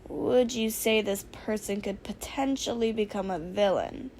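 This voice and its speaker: A curious, laid-back friend voiced by a woman in a fan fiction audio story. The delivery is slow, quiet and understated, with few words and a deadpan tone. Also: mid-range voice, slight background noise, pitched below the performer's own voice, lightly acted. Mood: dry, curious.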